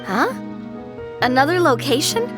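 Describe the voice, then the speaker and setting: A woman's voice: sits high, very animated, a little slow. A woman voicing a cheerful, confident young woman in a fantasy game, with an encouraging manner. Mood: enthusiastic. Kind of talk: video game character acting. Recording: background music behind voice.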